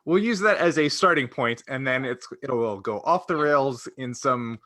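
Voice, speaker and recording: Bright-voiced man chatting on a podcast. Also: mid-range voice, lively intonation, normal speed. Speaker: podcast co-host. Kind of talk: casual group chat.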